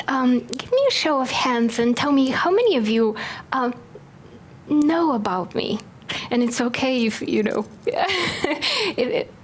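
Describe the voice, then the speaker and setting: A woman from Bulgaria giving a chatty, self-deprecating talk to a live audience, with many fillers. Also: on the high side. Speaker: speaker.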